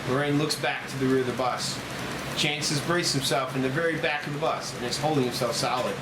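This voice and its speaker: A man's voice: medium pitch, fairly quick. A man narrating scene directions at a screenplay table read. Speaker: stage directions reader. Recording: roomy, loud steady background noise.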